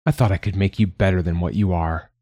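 A man's voice: low voice, steady tone, fast, mellow, no room sound, clean studio sound. A man voicing a cruel, scornful mother who berates her child in a harsh, hissing way in an audiobook. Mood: bitter contempt. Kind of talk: dramatised audiobook narration.

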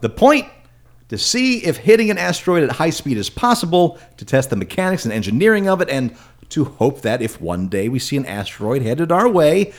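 Male podcast host, reads news items, lively pitch, quick laughs. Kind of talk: casual chat and read news segment.

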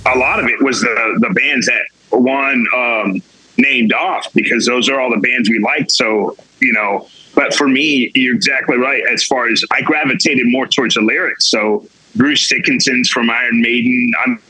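A man's voice: mid-range voice, fairly even intonation, normal speed, over a noisy crowd, low-quality recording. A man from Puerto Rico, a metal band vocalist answering interview questions eagerly and reminiscing. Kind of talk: casual interview.

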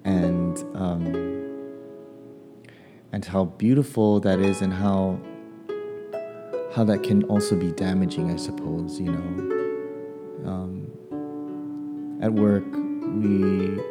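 A man from Hawaii, a playwright musing casually about his work and family, pausing often with ums. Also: low-pitched, steady tone, loud music behind the voice. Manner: hesitant, many ums and pauses. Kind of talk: casual personal reflection.

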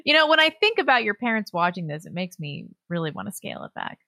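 A woman's voice: medium pitch, sing-song, quick pace, vocal fry, noisy background. A woman co-hosting a political livestream, reading out viewer messages and chatting casually in a dry, offhand way. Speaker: co-host of a political talk livestream.

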